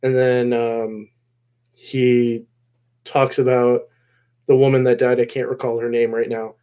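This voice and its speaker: A man hosting a casual recap discussion of a show episode, rambling along and often pausing mid-phrase. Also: medium pitch, little pitch movement, clean tone, muffled recording. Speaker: host of a show discussion video.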